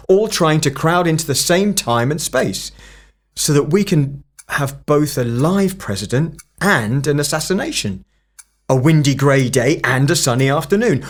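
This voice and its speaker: A slightly high-voiced male investigator of strange phenomena acted by a man in an audio drama. He is terse and analytical, speaking in deliberate, matter-of-fact phrases. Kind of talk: fan-made audio drama acting.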